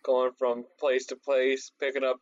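A man's voice: mid-range voice, monotone, a little slow, dry recording, lo-fi sound. A man playing a tabletop game, chatting casually and poking fun at himself. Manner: self-deprecating, rambling. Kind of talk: casual chat.

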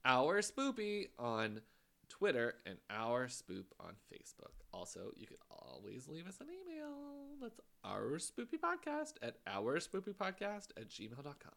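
A man co-hosting a podcast in casual, jokey banter, with a slightly gravelly voice and frequent laughter. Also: mid-range voice, huge pitch swings, measured pace, sharp tone. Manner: jokey, rambling, laughs often. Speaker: podcast co-host. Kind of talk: casual chat.

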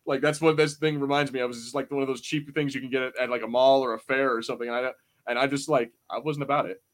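A man in his twenties co-hosting a podcast, chatting casually in a rambling, animated, sweary way. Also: mid-range voice, fast talker. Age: 20s. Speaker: podcast host. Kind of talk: casual panel chat reviewing a show.

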